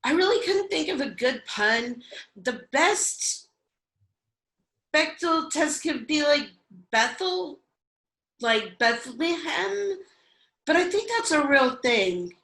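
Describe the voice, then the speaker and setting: A woman's voice: sits high, big pitch swings, takes it slowly, pauses often, fairly bright tone, full character voice. A woman playing a breezy, self-aware, joking woman in a staged reading with roomy sound. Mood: wry.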